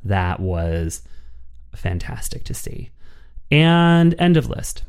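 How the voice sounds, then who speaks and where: A man's voice: lowish voice, big pitch swings, takes it slowly, gravelly, darkish tone, clean tone. Dry-witted male podcast co-host. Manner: dry, wry joking. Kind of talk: casual chat.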